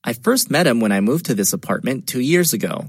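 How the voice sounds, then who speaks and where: A man's voice: mid-range voice, big pitch swings, fast talker, husky, no echo, studio quality. A man answering questions in a scripted textbook dialogue, plain and carefully enunciated.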